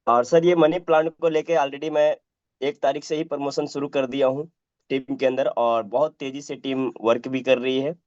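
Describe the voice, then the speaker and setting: A man from Bihar, hoarse from a cold, giving a polite, upbeat update to his team on a call. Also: medium pitch, level tone, moderate pace, darkish tone, dry recording. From Bhagalpur, Bihar, India. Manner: polite, upbeat status report. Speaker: team leader. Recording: dry, dull call audio.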